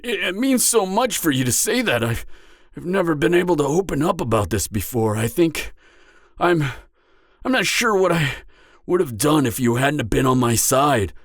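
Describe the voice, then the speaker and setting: A man playing a vulnerable, earnest genderfluid half-giant mercenary in an intimate audio roleplay, speaking haltingly with stammers. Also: fairly high pitch, sing-song, no room sound, studio quality, full character voice. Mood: overwhelmed, touched.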